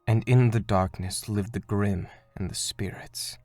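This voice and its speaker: A man playing a calm, earnest mentor of a spiritual order in an animated fandub, speaking in a measured, solemn way. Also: on the low side, no room sound, soft background music. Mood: solemn storytelling.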